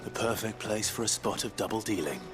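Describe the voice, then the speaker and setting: Casting: male actor for stoic game hero, deep and low, measured and brooding, over a clear score. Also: over music, lightly acted. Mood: grave, measured. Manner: measured, grave, understated. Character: stoic, brooding heroic swordsman nephew. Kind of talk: video game voice acting.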